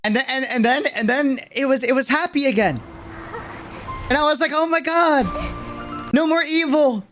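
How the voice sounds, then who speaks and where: Excitable young streamer over loud music. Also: sits high, normal speed. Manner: slangy, excitable, gushing. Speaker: streamer reacting to and breaking down a game trailer. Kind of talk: casual livestream reaction commentary. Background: loud game trailer music.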